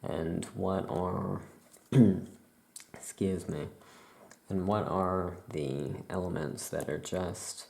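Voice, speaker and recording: A soft-spoken ASMR creator playing a witch shopkeeper, musing aloud in an unhurried way. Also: low-pitched, fairly even intonation, gravelly, echoey room.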